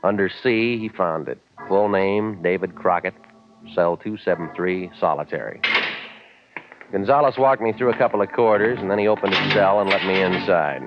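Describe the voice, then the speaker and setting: A man acts out a cynical private eye in a vintage radio play. He speaks low, in dry, wisecracking, clipped phrases. The recording is dull, with music clearly behind it. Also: normal speed, natural voice. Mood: wry, narrating. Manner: deadpan, terse, sardonic. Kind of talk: 1940s radio drama, first-person narration and dialogue.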